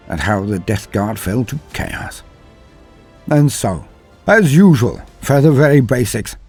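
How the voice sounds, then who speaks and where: A man's voice: on the low side, a little mellow, breathy edge. A man hosting and narrating lore in a theatrical, florid manner, with scripted delivery and chatty asides. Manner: theatrical, florid wording, self-deprecating. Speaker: lore channel host and narrator. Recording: music under the voice. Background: background music.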